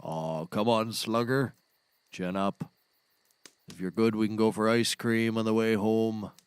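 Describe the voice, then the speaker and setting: A man's voice: fairly low pitch, steady tone, a little slow, clear, resonant tone, no room sound, natural voice. A man playing a brash, scheming, theatrical sidekick in a scripted audio comedy, bossy and mock-grand as he teases. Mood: boastful, patronizing.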